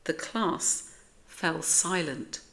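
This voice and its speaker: British female narrator for a picture book, slightly low voice, very measured pace, expressive. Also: gravelly. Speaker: storyteller reading aloud. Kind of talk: expressive scripted children's story narration.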